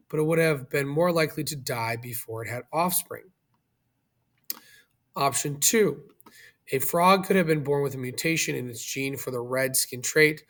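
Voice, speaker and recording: A man's voice: a little high, vocal fry, fairly bright tone. A man teaching in an explanatory video lesson, speaking instructionally and checking understanding with questions as he goes.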